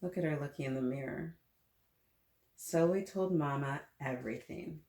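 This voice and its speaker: A woman reading a picture book aloud to young children, gently encouraging them and pausing to ask them questions. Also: deep voice, echoey room. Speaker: children's story-time host reading aloud.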